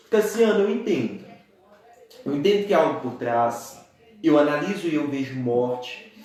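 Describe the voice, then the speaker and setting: A man's voice: fairly high pitch, darkish tone, very echoey. Earnest young Brazilian man preaching. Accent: Brazilian Portuguese. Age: 20s. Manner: earnest, keeps addressing listeners as dear ones. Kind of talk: church teaching talk on a live stream.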